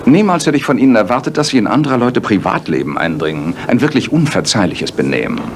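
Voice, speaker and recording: An actor playing a precise, commanding, sardonic gentleman detective in a German radio play. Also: mid-range voice, big pitch swings, fast talker, noisy background. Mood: sardonic, indignant.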